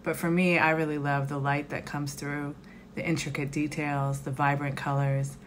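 Woman, 40s, low flat delivery, reflective home testimony on webcam. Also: normal speed, a little hiss or hum. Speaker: community advisory member. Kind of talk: personal reflection to camera. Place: home living room, indoors.

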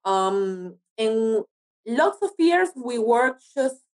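A woman's voice: on the high side, expressive, very slow, poor audio. Woman. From Argentina. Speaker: podcast guest, actor and writer. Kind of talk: casual interview chat.

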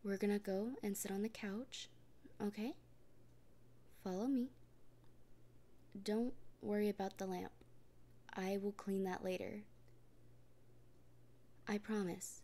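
A woman in a soft, gently reassuring comfort roleplay, often speaking in a whisper. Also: mid-range voice, steady tone, takes it slowly, long pauses. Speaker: roleplay performer speaking as a partner.

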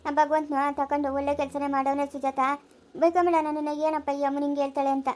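Casting: woman as practical old village granny, high-pitched, bluntly comforting, rural southern Karnataka Kannada accent. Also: level tone, fast talker, darkish tone, close to an everyday voice. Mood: consoling, resigned. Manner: blunt, fatalistic, consoling. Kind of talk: village drama acting.

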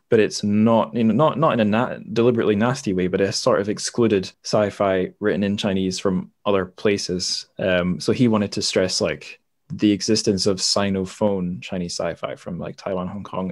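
A man hosting a casual literary podcast, talking in a rambling, hesitant way and often correcting himself. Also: low-pitched. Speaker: podcast host.

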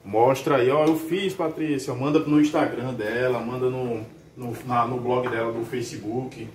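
A young man with a Northeastern Brazilian accent chatting casually while cooking at home, relaxed and slangy. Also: medium pitch, unhurried, recorded from a distance, slight background noise. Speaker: husband cooking. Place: small tiled home kitchen. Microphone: camera held a few meters away. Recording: echoey kitchen.

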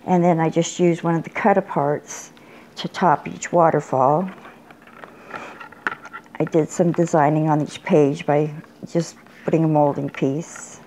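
An older woman showing off a handmade craft project in an unhurried, plain way, with small pauses and restarts. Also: low-pitched, steady tone, mellow, a little hiss or hum. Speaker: crafter showing her work. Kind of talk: casual show-and-tell. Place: indoors at a table, bedroom. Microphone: phone camera filming from above.

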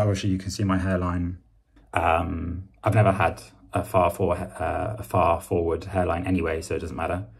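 A young man with a British accent talking casually to camera in a halting, understated way, with a husky, gravelly voice. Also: deep voice. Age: young adult. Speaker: vlogger. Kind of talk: casual vlog talk to camera.

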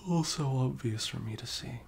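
A man's voice: normal speed, gravelly, a little mellow, natural voice. A young man playing a sleepy, caring, teasing best friend in an intimate ASMR roleplay, murmuring drowsily in casual slang. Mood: tender concern. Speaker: audio roleplay performer. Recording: low rumbling background noise. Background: faint rumble and blanket noises.

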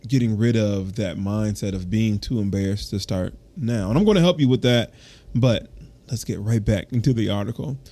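A man's voice: medium pitch, a little mellow. A man who works as a personal trainer, hosting a solo fitness podcast, reading from an article and breaking off to ramble, correct himself and encourage listeners.

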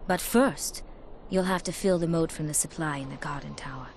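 An actress playing a stern, mysterious, fatalistic woman in a dark fantasy video game, speaking solemnly and haughtily. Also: sits low, moderate pace, a little hiss or hum. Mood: solemn instructing. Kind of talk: dramatic video game acting. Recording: game music behind. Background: music.

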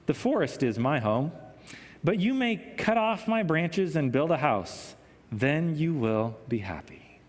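Man reading as a gentle old tree, echoing. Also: a little high, very animated, unhurried. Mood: welcoming, eager. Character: gentle, giving old female tree, loving and sorrowful. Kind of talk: storybook reading. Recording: echoey hall with long reverb.

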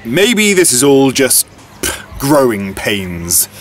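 Slow, hesitant older widower. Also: male voice, medium pitch, sing-song, a bit bright, heavily acted. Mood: hopeful, sincere. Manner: hesitant, halting, earnest. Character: hesitant, wistful widowed grandfather on a first date. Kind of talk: audio drama acting.

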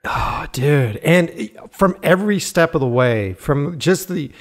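Male podcast host, lively pitch, excitable and loose, swears, laughs a lot. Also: takes it slowly. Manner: enthusiastic, laughs often, swears casually. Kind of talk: casual interview chat.

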